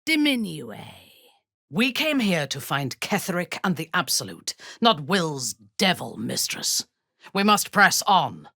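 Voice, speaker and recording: A woman playing a ruthless, proud female dark elf warrior in a video game, speaking in a haughty, deliberate, menacing way. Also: fairly low pitch, sing-song, gravelly, no room sound, studio quality, heavily acted. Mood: sharp, commanding. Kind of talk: video game character dialogue.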